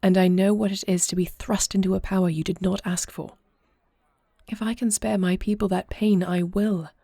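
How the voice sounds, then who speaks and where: A woman playing an earnest young princess and war leader in an audio roleplay, speaking formally in solemn, measured phrases. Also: mid-range voice, flat delivery, creaky, mellow, dry recording, clean studio sound. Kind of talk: scripted audio roleplay acting.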